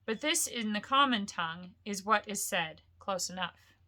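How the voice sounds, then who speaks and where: A woman reads the part of a grave old wizard from a fantasy storybook. The voice is bright and forward, commanding and slow, with weighty, portentous emphasis on the words. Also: mid-range voice, expressive. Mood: grave, solemn.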